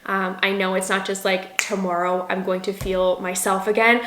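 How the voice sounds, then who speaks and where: A woman's voice: medium pitch, level tone, moderate pace, noisy background. A woman vlogger talking candidly and emotionally to her viewers, with frequent "like" fillers. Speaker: lifestyle vlogger, business owner. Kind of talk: candid personal vlog, talking to camera. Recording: echoey room.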